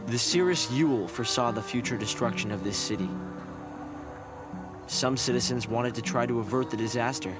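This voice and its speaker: Man as thoughtful game scientist, measured explanations, mid-pitched, loud music behind. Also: lightly acted. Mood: earnest, explaining. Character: thoughtful young male research director, calm and earnest. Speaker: research director. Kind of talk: video game voice acting.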